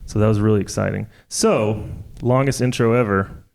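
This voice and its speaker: American man, gravelly low voice, joking podcast host. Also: darkish tone. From United States. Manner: chatty, joking, quick asides. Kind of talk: live podcast interview. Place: jazz club before live audience.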